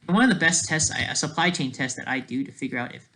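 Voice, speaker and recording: A person giving rambling off-the-cuff commentary in a halting, sarcastic way, restarting mid-thought and often checking with a questioning tag. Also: mid-range voice, expressive, pushed and bright.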